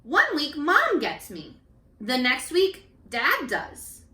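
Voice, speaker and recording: Echoey, bright-voiced woman reading to children. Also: sits high, takes it slowly. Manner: expressive, instructive, addressing children directly. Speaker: teacher reading a picture book aloud. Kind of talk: read-aloud for young children with questions to the listeners. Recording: echoey room, distant microphone.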